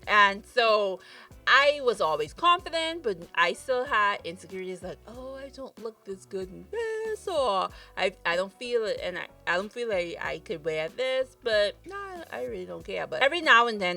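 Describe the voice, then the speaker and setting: Female guest, bright lively voice, relaxed and musing, slightly distant from the mic. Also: mid-range voice, gravelly. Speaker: podcast guest. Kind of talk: casual chat.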